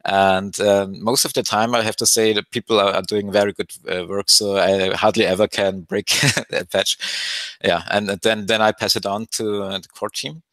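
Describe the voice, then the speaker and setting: A man's voice: lowish voice, little pitch movement, normal speed, a bit bright, dry recording. A man speaking from home over a video call, answering interview questions thoughtfully with many fillers. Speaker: open source maintainer. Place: home room with a piano.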